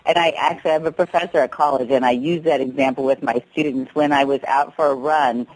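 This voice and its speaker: A woman's voice: a bass voice, fairly even intonation, brisk, a little mellow, rough recording. A middle-aged woman, an author and professor, speaking as an interview guest and telling her story in an earnest, reflective way.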